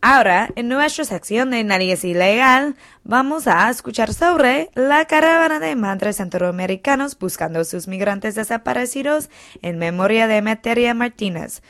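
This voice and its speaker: A woman presenting a radio news segment, reading a plain, measured introduction. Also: on the high side, expressive, fairly bright tone, dry recording, clean studio sound.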